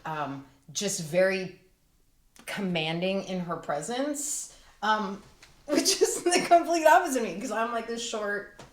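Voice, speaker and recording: A woman's voice: mid-range voice, expressive, a little slow. A woman chatting casually as a guest, often interrupting herself and starting over as she explains. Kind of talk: casual chat. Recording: echoey room.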